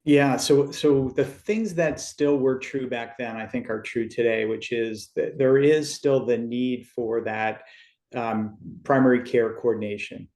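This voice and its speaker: A middle-aged man from Western Massachusetts, a podcast guest who explains at a measured pace with frequent restarts. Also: mid-range voice, fairly even intonation, darkish tone, roomy sound. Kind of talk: podcast interview.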